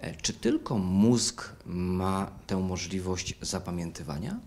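A middle-aged man from Poland hosting an interview, asking questions in halting, conversational phrases. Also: deep voice, lively intonation, a little mellow. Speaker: interviewer, host. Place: furnished living room, indoors.

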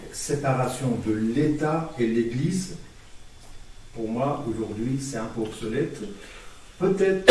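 A man from Iran speaking in a public discussion, measured and hesitant with many fillers, recorded by a far camera in a meeting room. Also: mid-range voice, very echoey, a little hiss or hum. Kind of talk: debate contribution.

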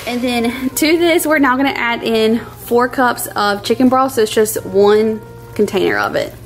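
A woman's voice: a little high, normal speed, faint music behind. A woman in her thirties hosting a home cooking vlog in a chatty, informal way, narrating casually as she goes. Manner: chatty, informal, laughs easily. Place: home kitchen.

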